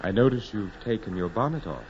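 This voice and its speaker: Deep-voiced, haughty aristocrat. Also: male voice, expressive, darkish tone. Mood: cool, aloof. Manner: formal, haughty, measured. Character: aloof aristocratic landowner, courtly, cold, coolly flattering man. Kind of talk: 1940s radio acting. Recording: dull noisy 1940s radio recording.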